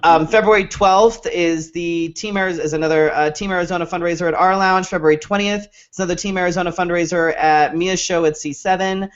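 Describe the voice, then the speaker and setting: A man's voice: sits high, fairly even intonation, a little fast, hardly any pauses. A man co-hosting a show in casual, rambling chat, swearing freely, on a dull lo-fi recording. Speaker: show co-host. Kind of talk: casual chat, plugs and sign-off. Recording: dull lo-fi audio.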